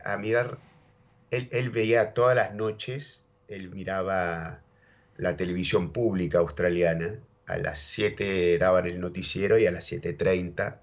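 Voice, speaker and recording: Argentine guy, real deep voice, rambling storyteller, dull lo-fi sound. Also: fairly even intonation, moderate pace. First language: Spanish and English, bilingual. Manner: rambling, repeats words, keeps saying ¿viste?. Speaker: interview guest. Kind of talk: casual storytelling chat. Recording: dull lo-fi audio.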